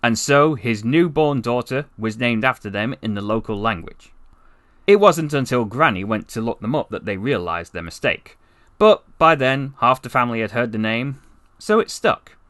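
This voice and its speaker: Male actor as wry, lovestruck half-alien storyteller: bright, very animated, gushing asides, comic audiobook read, close dry sound. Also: medium pitch, normal speed, no echo, natural voice. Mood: wry, teasing. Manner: conversational, rambling asides, gushing. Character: chatty, besotted, wry half-alien man from Dorset. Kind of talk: first-person comic story narration, voice acting practice.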